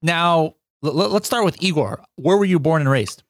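A man's voice: on the high side, lively intonation, moderate pace, no room sound, studio quality. A man hosting a podcast, upbeat and joking, often tripping over his words as he asks questions. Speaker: podcast host. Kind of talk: casual podcast interview.

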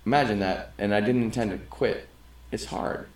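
A man's voice: lowish voice, moderate pace, crowd noise. A middle-aged man co-hosting a podcast, talking earnestly in a rambling way and often restarting his sentences. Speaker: podcast co-host. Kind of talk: casual conversation. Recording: roomy, echoey sound.

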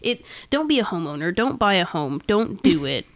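A woman's voice: fairly low pitch, sing-song, normal speed. A woman co-hosting a podcast with her sister, her voice a touch nasal. She rambles and laughs through casual chat, recorded dry and close with no room echo. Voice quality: a little nasal. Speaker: podcast co-host.

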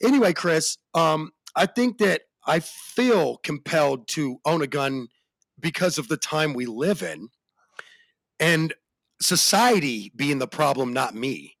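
An American man hosting a casual podcast chat, animated and slangy, with a deep voice that jumps in pitch for emphasis. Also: takes it slowly, gravelly, no echo. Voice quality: deep bassy voice. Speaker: podcast host.

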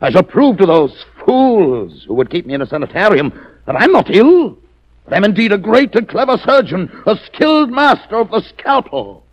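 High-pitched mad surgeon villain. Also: male voice, full character voice. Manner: florid, theatrical, pompous diction. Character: deranged surgeon, menacingly courteous, grandiose madman villain. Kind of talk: 1940s radio acting. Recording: muffled 1940s radio recording.

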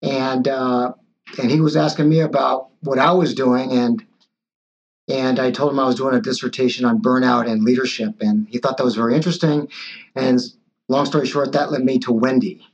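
A man's voice: mid-range voice, steady tone, normal speed. A man in his sixties, a physician guest, answering interview questions in a hesitant way with many fillers and restarts. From born in Taiwan, raised in Los Angeles. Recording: dull lo-fi audio.

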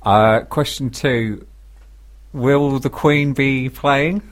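A man's voice: mid-range voice, extremely slow. Dry, teasing English male radio co-host. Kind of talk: casual comedic radio banter. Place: radio studio. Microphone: studio microphone.

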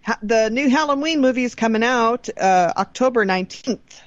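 A woman's voice: on the high side, normal speed, dry recording, lo-fi sound. A woman co-hosting a podcast in casual, informal, sweary chat. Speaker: podcast co-host.

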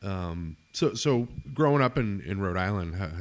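Middle-aged male podcast host, deep voice, easygoing and rambling. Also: moderate pace. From eastern United States. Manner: relaxed, filler-heavy, trails off quietly. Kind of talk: casual interview chat.

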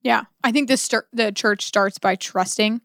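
A woman's voice: a little high, moderate pace, a bit bright, dry recording, studio quality. A woman co-hosting a podcast, talking earnestly and conversationally about faith, often posing rhetorical questions and voicing imagined quotes. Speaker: podcast co-host. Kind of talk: conversational religious discussion.

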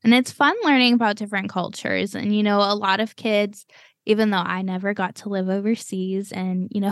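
A woman's voice: mid-range voice, no room sound, studio quality. A young American woman hosting a podcast, chatting casually with lots of fillers and little laughs. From United States. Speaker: podcast host. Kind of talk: casual chat.

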